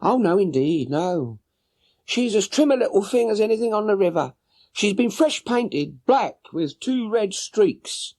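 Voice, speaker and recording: A man's voice: high-pitched, very animated, unhurried, darkish tone, pitched above the performer's own voice, full character voice. A man voicing a chatty, flustered working-class London boatman's wife for an audiobook. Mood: chatty, obliging. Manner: chatty, deferential, plain-spoken. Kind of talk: audiobook character voice.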